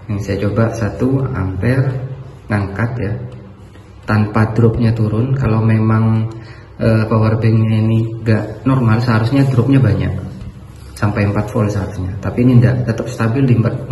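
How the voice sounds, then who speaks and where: A man giving a casual hands-on product review, explaining step by step in a reverberant room. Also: lowish voice. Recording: echoey reverberant room with background noise.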